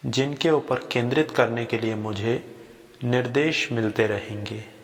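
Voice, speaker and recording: A man guiding a sleep meditation with gentle, unhurried instructions in short phrases with long pauses between them. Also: medium pitch. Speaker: yoga nidra guide. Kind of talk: guided sleep meditation, slow spoken instructions. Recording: long reverb on the voice.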